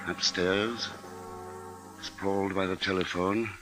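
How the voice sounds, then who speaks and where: An actor playing a grave husband and father in a 1950s radio drama, speaking in a measured, deliberate way. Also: low voice, fairly even intonation. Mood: grave, foreboding. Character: grim, capable husband and father narrating a siege. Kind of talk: 1950s radio drama acting and first-person narration. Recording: 1950s radio recording with music underscore.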